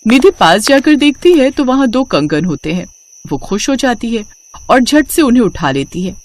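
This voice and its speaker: A woman's voice: on the high side, big pitch swings, moderate pace. A woman narrating a scripted moral story for children as its storyteller.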